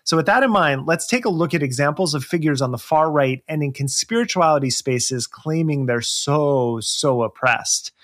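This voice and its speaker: A man with a somewhat high-pitched voice co-hosts a discussion podcast. He presents prepared points, then slips into conversational asides to his fellow host. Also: normal speed, few pauses, fairly bright tone. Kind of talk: podcast discussion, part scripted.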